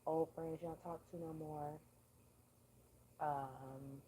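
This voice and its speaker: Woman, livestream host, hoarse, laid-back and profane, low voice, faint background hiss. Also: steady tone, slow pace, warm tone, poor audio. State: hoarse, lost voice. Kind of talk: casual livestream chat.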